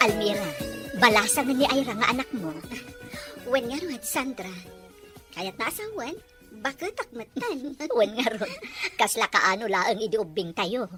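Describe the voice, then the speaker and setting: A woman acting an emotional young mother in an Ilocano radio drama, plaintive and expressive, with an Ilocano accent. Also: on the high side, a little slow, pauses often, over music. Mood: resigned, reflective.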